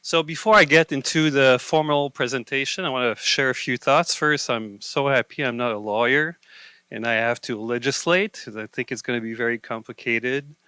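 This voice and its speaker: A man giving a relaxed, self-deprecating opening to a conference talk. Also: mid-range voice, normal speed, smooth, no room sound. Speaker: forum speaker. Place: conference hall.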